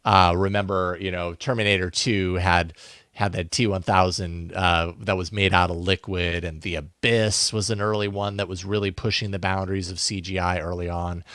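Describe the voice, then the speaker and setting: Male podcast co-host, lowish voice, dry close sound, casual tech news chat, conversational and exploratory. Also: flat delivery, moderate pace, a bit bright, no room sound.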